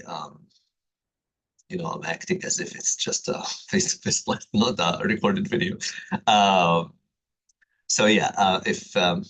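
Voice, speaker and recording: Hesitant low-voiced Egyptian man teaching. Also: creaky, fairly bright tone, slightly rough, poor audio. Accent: Egyptian Arabic. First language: Arabic. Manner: hesitant, many fillers, careful explanatory delivery. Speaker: university writing instructor. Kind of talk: recorded online class introduction. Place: home, late at night.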